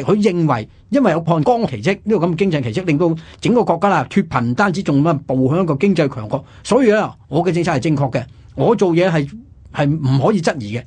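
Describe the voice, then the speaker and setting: A man's voice: a little high, a little mellow, breathy edge. A man with a Hong Kong Cantonese accent hosting a radio history talk, explaining emphatically and asking rhetorical questions. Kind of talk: conversational history lecture.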